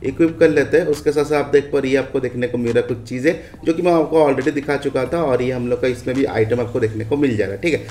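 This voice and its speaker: A man's voice: medium pitch, quick pace, few pauses, a little mellow. Indian man commentating, echoey room. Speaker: gaming video host. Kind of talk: casual update walkthrough commentary. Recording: echoey room with faint background noise.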